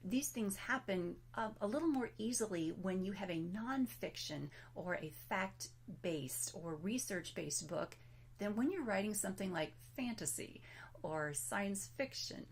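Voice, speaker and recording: A woman presents to fellow writers while losing her voice, so it comes out weak and breathy, sometimes slipping into a whisper. She talks in a chatty, self-deprecating way, with filler words along the way. Her pitch sits in the middle range. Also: expressive, a little slow, a little hiss or hum. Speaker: author giving a presentation. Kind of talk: casual recorded presentation.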